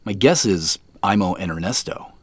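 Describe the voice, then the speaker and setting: Regular guy in a mystery audio drama, lowish voice that moves around a lot, talks natural. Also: animated, normal speed, husky, dry recording. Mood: tired, amused. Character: Joseph, adult man on a mysterious family quest.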